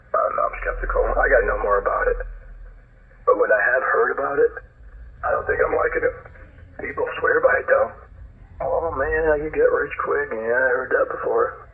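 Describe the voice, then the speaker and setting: A raspy-voiced man chatting casually as a ham radio operator over shortwave, with a blunt, questioning manner. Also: mid-range voice. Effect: shortwave radio transmission. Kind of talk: casual two-way radio chat. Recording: distant, muffled, lo-fi shortwave ham signal.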